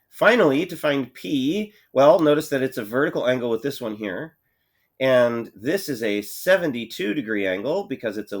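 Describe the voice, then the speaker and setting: A male math teacher with a cold and a congested voice, talking his students through problems in a relaxed way, thinking aloud with many fillers. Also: fairly low pitch. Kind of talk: recorded lesson walkthrough.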